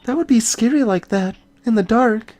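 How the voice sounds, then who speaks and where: A woman's voice: on the high side, moderate pace, mellow, a little hiss or hum, close to an everyday voice. Curious young sidekick girl played by a woman. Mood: awed. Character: young female sidekick, curious, naive and playful. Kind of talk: fan voice acting of video game dialogue.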